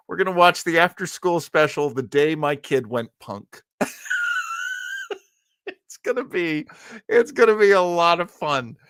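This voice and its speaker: A man hosting a live-streamed show, chatting casually and jokily with viewers, rambling along and quick to chuckle. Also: medium pitch, fairly bright tone, dry recording, clean studio sound.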